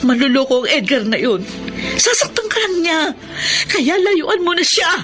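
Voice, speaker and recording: An actress playing a stern, wounded, protective elder sister in a Filipino radio drama, forceful and dramatic. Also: female voice, high-pitched, very animated, normal speed, over loud music. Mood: bitter, hurt.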